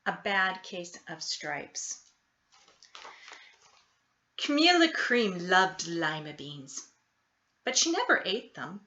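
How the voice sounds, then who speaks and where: A woman reading a children's picture book aloud in an expressive, deliberate storytelling style. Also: lowish voice, dull audio. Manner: animated, deliberate storytelling delivery. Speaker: storybook reader.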